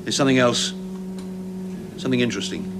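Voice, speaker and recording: An actor playing a forceful male research team leader who talks in a brisk, commanding way, in a 1970s television drama. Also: medium pitch, expressive, close to an everyday voice. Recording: hissy old TV soundtrack.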